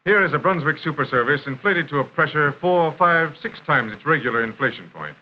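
An American man reading scripted narration for a 1930s industrial film in a formal, measured announcer style. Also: on the high side, lively intonation. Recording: dull, noisy 1930s film soundtrack.

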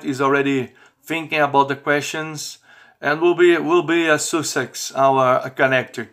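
A man in his fifties, not a native English speaker, talking informally to camera in halting, repetitive phrasing. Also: medium pitch, fairly bright tone. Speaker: amateur radio operator and vlogger. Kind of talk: informal video message to camera. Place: small bedroom, indoors.

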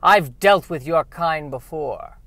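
Man putting on a pompous, high-pitched heroic sci-fi doctor. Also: slow, vocal fry, sharp tone, no echo, exaggerated voice. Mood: defiant. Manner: declamatory, grandiose. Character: pompous heroic human doctor defying alien invaders. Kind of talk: sci-fi radio play acting.

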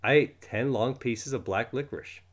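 A man voicing a mischievous schoolboy prankster in a storybook, explaining things in a mock-earnest way with bright exclamations. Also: mid-range voice, moderate pace, close to an everyday voice. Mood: gleeful.

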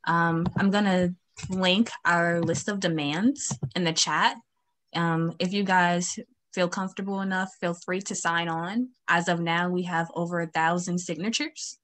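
A woman's voice: fairly low pitch, steady tone, a little slow, fairly bright tone. A young woman student activist speaking earnestly on a video call, with many fillers. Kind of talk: town hall video call. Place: home, virtual beach background.

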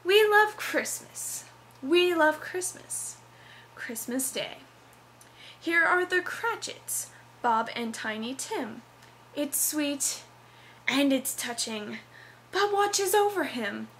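A woman's voice: high voice, sing-song, slow pace, a bit bright, a little hiss or hum, full character voice. A young woman playing an earnest, over-dramatic narrator, declaiming verse from a Christmas stage show. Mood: solemnly touched. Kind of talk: dramatic reading.

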